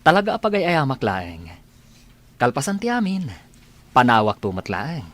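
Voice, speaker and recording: Actor as suitor guy calming someone, lively mid voice, dry close sound, radio drama. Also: medium pitch, moderate pace, no room sound. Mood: reassuring. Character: reassuring, serious suitor. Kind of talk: Ilocano radio drama acting.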